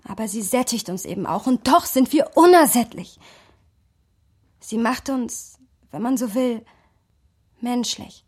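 Radio drama role: earnest, bookish young woman, slightly husky voice, intimate dry sound, reflective delivery. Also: a little high, expressive, a little slow, pauses often, darkish tone, dry recording. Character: Sophia, thoughtful passionate literary young woman. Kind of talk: radio drama narration and dialogue.

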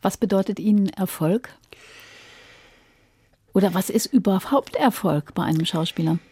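A woman's voice: medium pitch, normal speed, dark tone, breathy edge. A woman presenting a radio interview, asking questions and introducing her guest.

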